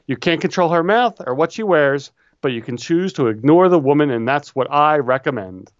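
A man's voice: on the high side, animated, normal speed, no echo, lo-fi sound. A man co-hosting a casual chat, reading advice letters aloud with easygoing, dry humor. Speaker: podcast co-host.

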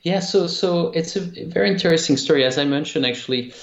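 A man with a French accent, a game company founder talking in a casual interview, halting with many restarts. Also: fairly high pitch, roomy sound, muffled recording. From France. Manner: halting, many restarts and fillers, laughs easily. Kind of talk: casual interview over a call.

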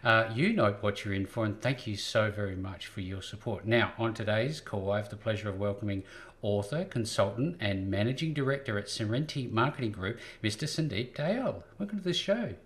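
A man hosts a business podcast in a brisk, upbeat promotional way, with a fairly low voice. He puts his questions to a guest over a call. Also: expressive, fairly bright tone. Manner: upbeat, fast promotional delivery with light laughs. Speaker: podcast host. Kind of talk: scripted show intro then interview questions.

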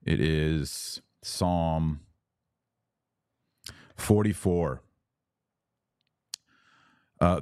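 A man's voice: a bass voice, a little mellow, no room sound, studio quality. A man speaking as a podcast host, teaching about religion conversationally and answering questions in a didactic, emphatic way.

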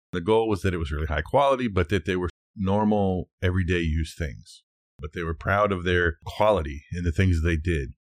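A man's voice: low voice, dry recording, clean studio sound. A man co-hosting a podcast, talking and telling stories in an informal, easygoing, conversational way. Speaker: podcast co-host. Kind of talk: casual conversational storytelling and discussion.

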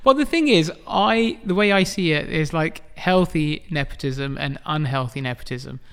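A middle-aged man from England, a guest artist in a casual interview, talking in a halting way with many restarts and frequent chuckles. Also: on the high side, expressive, fairly bright tone, smooth.

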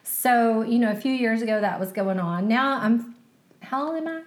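A woman in her forties co-hosting a casual health podcast, chatty and quick to laugh. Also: fairly high pitch. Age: 40s. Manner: chatty, laughs easily, talks through advice. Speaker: podcast co-host. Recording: echoey room.

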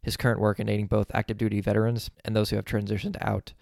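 Podcast host guy reading his intro, even and measured, deep-ish with a lot of fry. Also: no room sound, clean studio sound. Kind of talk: scripted intro and interview questions.

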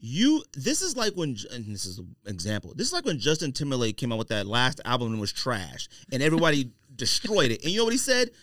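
A man's voice: mid-range voice, huge pitch swings, fast, hardly any pauses, a bit bright, no echo. An American man hosting a casual podcast debate, arguing emphatically and often cutting in. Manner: argumentative, emphatic, interrupting. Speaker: podcast host.

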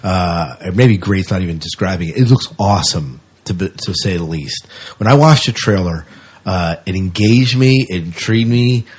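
Husky, low-voiced man chatting on a podcast. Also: normal speed, a little mellow. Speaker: podcast co-host. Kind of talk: casual sci-fi news and review chat.

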